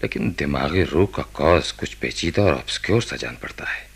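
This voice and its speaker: A man acting a commanding, preoccupied senior hospital doctor in a radio drama, speaking deliberately with thoughtful hesitations in a gravelly, husky voice. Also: very deep voice, animated, full character voice. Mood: grave, authoritative.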